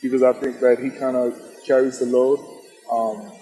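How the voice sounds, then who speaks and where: A man's voice: medium pitch, level tone, moderate pace, dark tone. A young adult man answering interview questions as a stage actor, in an articulate, considered manner. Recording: very echoey hall.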